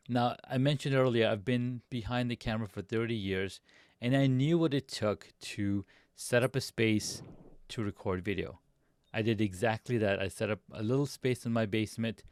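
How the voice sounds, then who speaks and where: A man's voice: medium pitch, no echo, clean studio sound. A middle-aged man who grew up in Pakistan, Saudi Arabia and the US, chatting upbeat as a guest on a video podcast, with a few restarts. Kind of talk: casual storytelling on a video podcast.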